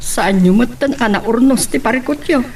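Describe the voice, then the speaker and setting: A woman's voice: a little high, moderate pace, darkish tone, slight background noise, lightly acted. A woman acting a concerned, probing mother of a grown son in a radio drama.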